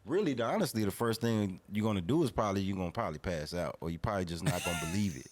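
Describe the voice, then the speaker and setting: Guy in his 30s, kinda low voice, slangy and earnest, just chatting on a podcast. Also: expressive, very fast. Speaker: podcast guest, barber. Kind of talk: casual podcast conversation.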